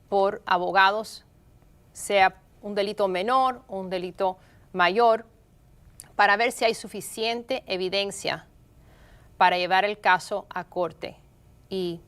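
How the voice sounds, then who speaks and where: Smooth-voiced middle-aged woman. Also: mid-range voice, a bit bright. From Miami, of Cuban parents. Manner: measured, explanatory, earnest. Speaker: guest, state prosecutor. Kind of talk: interview answers.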